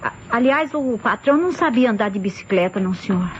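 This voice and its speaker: Woman as respectful, hesitant maid with a highish voice, vintage radio drama, dull recording. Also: lively intonation, fast talker, lightly acted. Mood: hesitant, helpful. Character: polite, deferential housemaid. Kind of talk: old radio mystery acting. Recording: muffled, hissy old radio recording.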